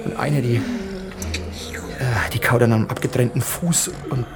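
A man's voice: mid-range voice, animated, loud music behind the voice, natural voice. A man acting a frightened, stammering police inspector in a radio drama. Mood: shaken. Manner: stammering, halting, increasingly panicked. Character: frightened male police inspector reporting from a massacre.

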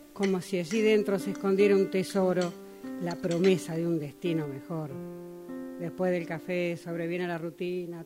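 A woman with a fairly low voice reads a dramatic monologue. Guitar music plays clearly behind her. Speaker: radio drama workshop student.

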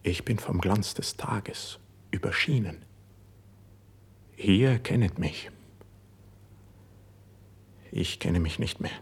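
A man playing a young, proud, wounded poet in a classic verse radio drama, declaiming intensely with a breathy voice. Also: low voice, takes it slowly, pauses often, exaggerated voice. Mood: bewildered, melancholy.

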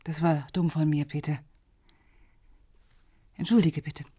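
Low, husky middle-aged woman, subdued. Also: steady tone, a little slow, mellow, no echo, studio quality. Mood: apologetic, confiding. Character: candid, wry middle-aged policewoman on a dinner date. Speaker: actress in a crime radio play. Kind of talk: radio drama dialogue.

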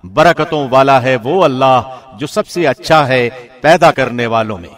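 A man reading a scripture translation in a formal, measured and solemn radio presenter's style. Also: mid-range voice. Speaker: translation reader, radio host.

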